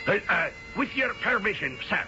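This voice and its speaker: Sergeant major, high bright voice, animated, puzzled, military courtesy, radio play. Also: male voice. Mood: puzzled, dutiful. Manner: brisk military politeness, bewildered. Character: dutiful, puzzled Scottish pipe sergeant major. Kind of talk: radio drama acting. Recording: dull old radio recording, faint music.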